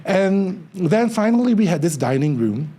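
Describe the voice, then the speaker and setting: A man, a feng shui consultant telling his story as an interview guest, animated and acting out what others said. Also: sits high, moderate pace, breathy edge. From Singapore. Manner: animated storyteller, acts out quoted remarks with a lifted voice.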